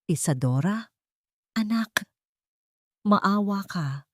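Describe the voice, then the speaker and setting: A woman's voice: medium pitch, expressive, drawn-out words, background noise, voice pushed up. A woman with a Filipino accent voicing a humbled elderly mother-in-law who begs tearfully in an audiobook. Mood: tearful pleading. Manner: pleading, tearful. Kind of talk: audiobook character dialogue.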